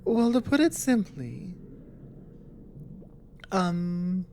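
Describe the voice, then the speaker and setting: A woman acts out an earnest dragon woman in an intimate ASMR audio drama. Her voice is low and mellow, and she advises gently and very slowly, pausing often as she chooses her words. A faint noise sits behind her. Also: big pitch swings, exaggerated voice. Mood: hesitant, concerned. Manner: soft, hesitant, deliberate, with long pauses. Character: earnest dragon woman, careful war advisor and tender lover.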